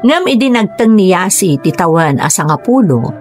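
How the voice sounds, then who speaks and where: A lowish-voiced mother speaks earnestly in a radio drama over soft music. She is sorrowful and confiding as she shares her troubles. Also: sing-song, moderate pace. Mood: wistful, confiding. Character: sorrowful mother recounting her family's hardship.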